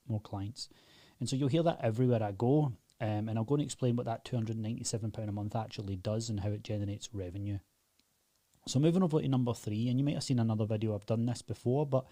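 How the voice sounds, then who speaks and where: A man's voice: medium pitch, dark tone, no room sound. A Scottish man in his thirties talking straight to camera about his business, blunt, emphatic and proud. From Scotland. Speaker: business owner and channel host. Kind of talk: direct-to-camera business talk.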